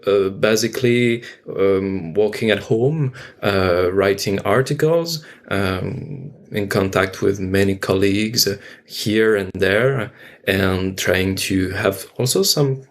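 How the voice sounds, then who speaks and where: Male podcast guest, French accent, low creaky voice, thoughtful, halting conversational English. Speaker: guest, theater artist. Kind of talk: casual reflective conversation.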